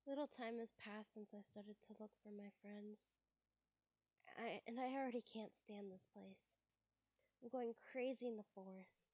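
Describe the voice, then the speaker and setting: A woman's voice: medium pitch, fairly even intonation, normal speed, a little mellow. A woman streamer who is under the weather, reading a visual novel aloud with casual asides. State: sick, occasional cough. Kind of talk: live let's-play read-aloud narration with casual asides. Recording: roomy echo, dull lo-fi sound.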